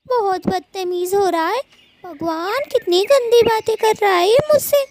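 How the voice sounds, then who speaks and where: A woman's voice: very high-pitched, measured pace, background noise. A woman voicing an indignant married female bird in a Hindi cartoon story, scolding and nagging.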